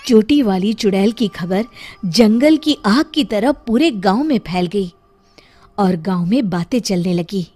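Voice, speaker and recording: A woman's voice: medium pitch, lively intonation, unhurried, mellow. A woman narrating a scripted moral story expressively as a storyteller. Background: faint music.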